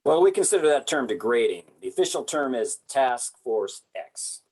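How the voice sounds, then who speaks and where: A man's voice: medium pitch, big pitch swings, moderate pace, a little mellow, slightly rough. Military colonel for a script read, male actor, dry and official delivery, mid-range voice. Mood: dry, brisk. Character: gruff, dry military colonel leading a convict squad. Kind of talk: amateur script table read.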